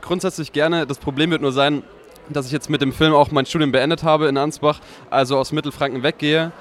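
A man in his twenties, a recent film graduate, talking calmly and reflectively outdoors. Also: a little high, brisk. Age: 20s. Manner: calm, reflective.

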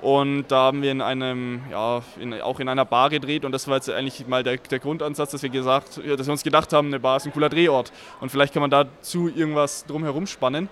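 A man's voice: medium pitch, steady tone, fast talker, few pauses, fairly bright tone. A nineteen-year-old man, a young filmmaker, explaining his ideas hesitantly with many restarts. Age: teens.

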